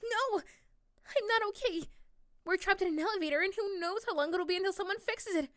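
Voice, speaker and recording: Actress as anxious young office crush for ASMR audio drama. Female, sweet, very high voice, dry recording. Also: normal speed, a bit bright, no room sound. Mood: panicked.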